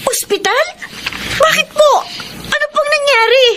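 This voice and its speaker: A woman's voice: very high-pitched, a little slow. A woman playing a distraught, remorseful young daughter in a radio drama, emotional and pleading, with a Filipino accent. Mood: shocked.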